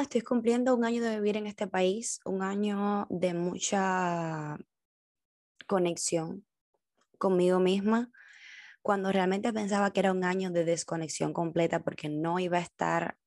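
Cuban woman podcasting solo, reflective and heartfelt, bit of fry in a lowish voice, dry sound. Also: a little mellow, no room sound. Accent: Cuban Spanish. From Cuba. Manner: reflective and heartfelt, with thoughtful pauses. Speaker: podcast host.

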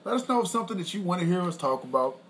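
High-voiced guy rambling on a podcast, clears throat a lot, bit of hiss. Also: lively intonation, rapid-fire, fairly bright tone. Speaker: podcast co-host. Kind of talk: casual chat.

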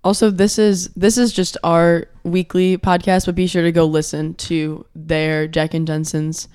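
A young man co-hosting a casual podcast, his voice hoarse and his talk rambling with many fillers. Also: on the high side, little pitch movement, measured pace, darkish tone, dry recording, clean studio sound. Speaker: podcast co-host. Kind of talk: casual chat.

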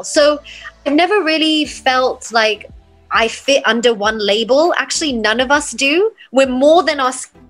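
A woman who grew up in England and America talks as an interview guest. Her voice sits a little high, and she speaks in an earnest, reflective, spiritual way. Light music is in the recording. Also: fairly bright tone. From born in England, raised there and in the United States. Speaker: podcast guest, mindset coach and yoga teacher.

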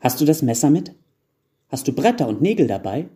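A man voicing excitable little gnome children who beg and pester in a storybook tale. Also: on the high side, normal speed, voice pushed up. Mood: eager, demanding. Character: pleading, excitable gnome children begging for toys.

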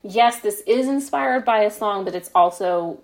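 A woman's voice: mid-range voice, moderate pace. A woman speaking as a guest author in a casual interview, explaining her writing in a reflective, conversational way. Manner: reflective, explains at length with frequent "you know" and "like".

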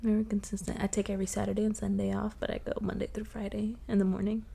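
Podcast co-host, young woman, mid-range voice with a gravelly fry, loose and profane, quick to laugh. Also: medium pitch, level tone, dark tone. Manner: laughs often, swears casually. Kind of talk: casual chat.

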